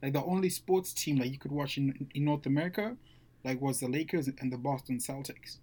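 A man's voice: medium pitch, brisk, warm tone, breathy edge. A young man from Zimbabwe sharing his background in a casual podcast chat, using easy slang. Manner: casual slang, addresses friends as bro.

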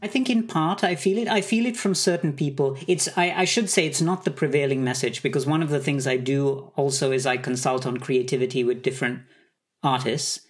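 Conductor guy from sri lanka, thinks it through, mid pitch, measured answers. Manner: thoughtful, measured, some hesitations. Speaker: conductor, guest. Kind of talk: reflective interview answers.

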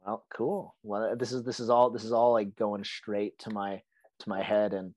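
A young man with a raspy, hoarse voice from being sick, hosting a casual podcast chat and rambling with lots of "like" and restarts. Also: on the low side, little pitch movement, normal speed, dark tone, dry recording. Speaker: podcast host.

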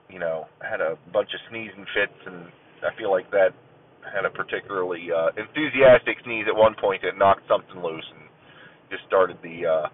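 A man from Ohio hosting his own podcast, rambling casually with many ums, stuffy and clearing his throat as if getting a cold. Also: sits low, a little hiss or hum. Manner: rambling, many ums and uhs, self-deprecating. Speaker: podcast host. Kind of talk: casual solo podcast chat. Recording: tinny phone-like audio.

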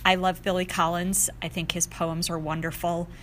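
A woman's voice: lowish voice, little pitch movement, moderate pace, a bit bright, a little hiss or hum. A woman, a teacher reading and talking about poetry. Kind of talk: poetry reading with brief personal comment.

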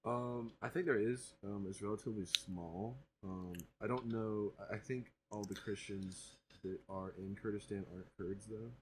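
A young man with a very deep voice co-hosts a laid-back podcast. He talks haltingly, full of ums and false starts, over a faint electrical hum. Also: steady tone, a little mellow. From grew up in northern Iraq. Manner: hesitant, many ums and restarts. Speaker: podcast co-host. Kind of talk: casual podcast chat.

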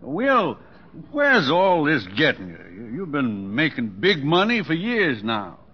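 A man's voice: on the high side, wildly swooping pitch, measured pace, husky, exaggerated voice. An actor playing a blunt, needling elderly father in an old-time radio drama, with a folksy, pointed, skeptical way of questioning. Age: older. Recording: muffled old radio recording, reverberant stage.

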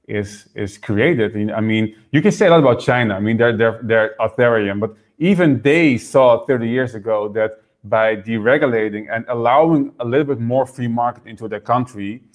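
A man raised in the Netherlands, speaking as an interview guest and explaining politics quickly, often repeating words as he goes. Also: mid-range voice. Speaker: political party member, guest. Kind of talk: interview conversation.

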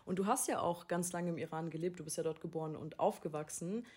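A woman hosting a talk, calmly explaining background information to listeners. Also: lowish voice, fast talker, husky. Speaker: podcast host.

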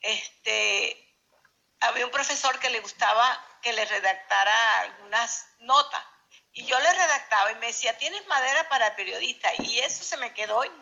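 A woman's voice: on the high side, normal speed, vocal fry, sharp tone. A woman in her sixties with a Venezuelan accent, answering interview questions emphatically and repeating her phrases over a muffled internet call. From Zulia, Venezuela. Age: 60s. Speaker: interview guest, journalist.

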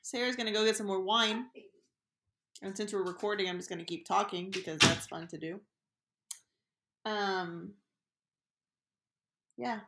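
A woman's voice: mid-range voice, fairly even intonation, unhurried, long pauses, fairly bright tone, slight background noise. Woman podcast host, encouraging interviewer, roomy echo. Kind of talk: casual chat. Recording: echoey room.

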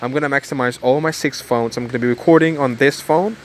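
A man's voice: mid-range voice, fast, slight background noise. A nineteen-year-old man vlogging casually and rambling through a repetitive motivational pep-talk outdoors. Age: young adult. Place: outdoors in the cold.

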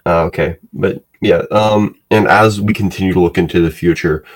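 A man hosts a podcast, asking questions haltingly with many "uh" and "um". His voice is very deep. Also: steady tone, poor audio. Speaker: podcast host. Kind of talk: interviewing.

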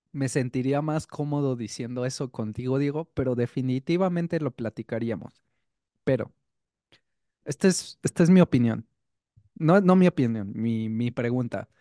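A man's voice: medium pitch, warm tone, no echo, clean studio sound. A man co-hosting a casual podcast chat. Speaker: podcast co-host.

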